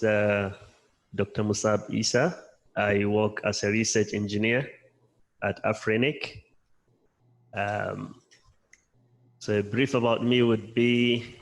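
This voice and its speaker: A man from Nigeria whose first language is Hausa, giving a measured self-introduction at a conference with frequent pauses. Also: fairly low pitch. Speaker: conference presenter. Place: conference hall.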